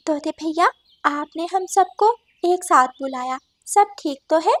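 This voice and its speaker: A woman's voice: very high voice, lively intonation, a little slow, a bit bright, no echo, studio quality, voice pushed up, full character voice. A woman voicing a kind, practical female little bird in a cartoon, polite and encouraging. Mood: polite, concerned. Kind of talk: cartoon character acting.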